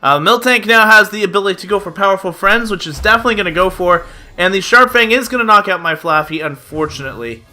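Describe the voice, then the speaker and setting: A man's voice: high-pitched, lively intonation, fast, bright, forceful tone. A young man giving chatty play-by-play commentary on a card game, slightly nasal from a cold, with lots of "uh" fillers. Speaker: gaming YouTuber. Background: background music.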